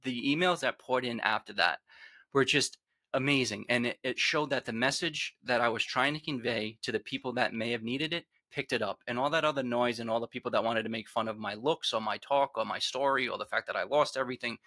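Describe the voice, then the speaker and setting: A man's voice: mid-range voice, a little fast, dry recording. A man in his forties with a Rhode Island accent, earnestly telling his personal story as a podcast guest. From Rhode Island, USA. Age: 40s. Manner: earnest, reflective, trails off quietly.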